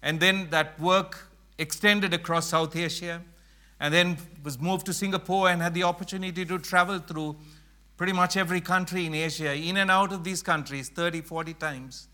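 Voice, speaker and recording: A middle-aged man from Sri Lanka speaks to a church gathering in an earnest, emphatic way. His voice sits high and sounds bright and forceful. He slows down and leans on key phrases. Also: steady tone. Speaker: mission speaker. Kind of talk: church presentation.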